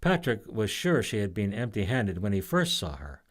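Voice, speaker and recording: Man, scripted story narration, lowish voice, expressive, animated pitch movement. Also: moderate pace. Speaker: author reading own story.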